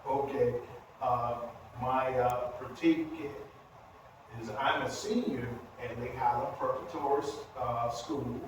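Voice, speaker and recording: A man in his seventies speaking in a recorded oral history interview, with deliberate, halting phrasing. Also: medium pitch, steady tone. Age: 70s. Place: echoey indoor room at a history center. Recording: very echoey room, distant mic, noisy.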